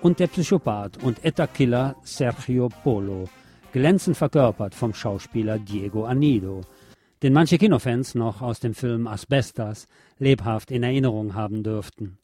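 A man's voice: mid-range voice, moderate pace, warm tone, soft background music. A man presenting a scripted film review as a radio host.

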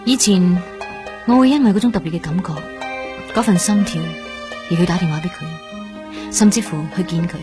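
An actress with a Hong Kong accent playing a lovelorn young woman in a wistful, measured radio drama monologue. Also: lowish voice, animated, music in the background, close to an everyday voice. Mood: sad, wistful. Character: melancholy, lovelorn young woman confiding inner thoughts.

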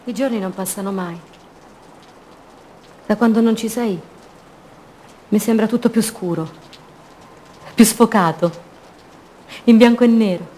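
An actress playing a lonely, wounded woman longing for her love, in an intimate, lyrical radio theatre monologue of short measured phrases. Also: medium pitch, mellow, slight background noise, lightly acted. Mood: aching.

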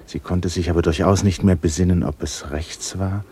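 A man narrating a literary radio drama in a measured, expressive storytelling manner. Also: deep voice, husky. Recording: noisy radio production with ambience. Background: noise, ambience.

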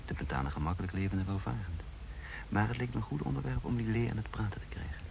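An actor playing a calculating double agent who poses as a counterintelligence chief, measured and probing in a radio play. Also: male voice, fairly low pitch, vocal fry, a little mellow. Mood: reflective, guarded. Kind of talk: Dutch radio play acting and first-person narration. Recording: noisy old radio play recording.

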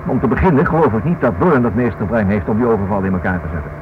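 A man's voice: on the low side, racing, close to an everyday voice. An actor playing an inquisitive, stubborn married man in a radio play, thinking aloud and repeating himself. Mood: excited reasoning. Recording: noisy, dull old radio recording.

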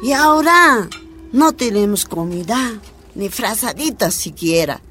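A woman's voice: on the high side, animated, unhurried, a bit bright, slight background noise. An actress playing a poor, worried mother with a plaintive manner in a radio drama. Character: poor, worried Bolivian mother of small children.

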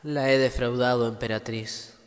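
A man's voice: medium pitch, steady tone, normal speed, natural voice. A man playing a guilt-ridden, earnest young hero in a radio drama, with a pleading, self-blaming manner. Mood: contrite. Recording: long reverb with music behind.

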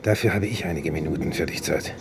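An actor playing a resigned, stoic doctor living in exile on a harsh planet, laconic and sober, in 1950s radio drama style. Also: male voice, very deep voice, quick pace, gravelly. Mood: detached. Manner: laconic, sober, matter-of-fact. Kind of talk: 1950s German radio play acting. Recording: noisy 1950s radio recording.

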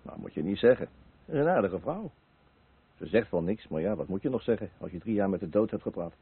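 Mild, husky-voiced neighbour. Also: male voice, lowish voice, lively intonation, fairly quick, exaggerated voice. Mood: defensive. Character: mild, simple male neighbour defending the absent man. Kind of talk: naturalistic radio play dialogue. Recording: muffled narrow-band old radio recording.

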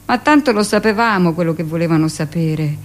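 An actress playing a hardened middle-aged hospital midwife, speaking a dramatic monologue in a deliberate, confiding, matter-of-fact way. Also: female voice, on the low side, lively intonation, lightly acted. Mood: matter-of-fact, defensive. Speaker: actress performing a radio monologue. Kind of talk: dramatic first-person theatrical monologue.

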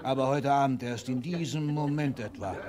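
Actor as combative statesman father, mid-pitched. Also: normal speed, crowd noise, close to an everyday voice. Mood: agitated, triumphant. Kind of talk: 1960s German radio play acting.

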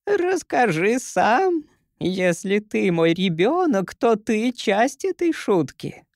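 A man voicing an ancient, tiny, merry female creature who is the first grandmother, in a teasing, giggly, drawn-out manner. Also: sits high, sing-song, no room sound, studio quality, voice pushed up, heavily acted. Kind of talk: audiobook character voice.

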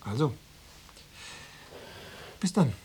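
An actor playing an insinuating Stasi officer in a radio drama, probing and coaxing with cool formality and veiled menace. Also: male voice, mid-range voice, big pitch swings, drawn-out words. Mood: veiled threat. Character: insinuating male Stasi officer pressuring an informant. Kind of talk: German radio drama dialogue.

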